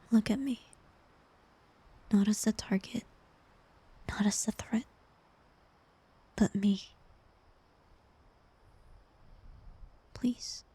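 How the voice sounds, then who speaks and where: Girl doing a sad tender bard for fantasy romance asmr, super breathy and whispery, slow and wistful. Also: medium pitch. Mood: pleading resignation. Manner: slow, gentle, wistful delivery with pauses. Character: tender, heartbroken woman bard facing her former lover. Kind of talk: intimate ASMR romantic fantasy audio roleplay.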